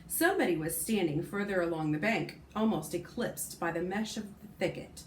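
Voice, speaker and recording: A woman teacher reading a novel aloud to her class, expressive and pausing to comment or correct herself. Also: fairly low pitch, reverberant, slight background noise.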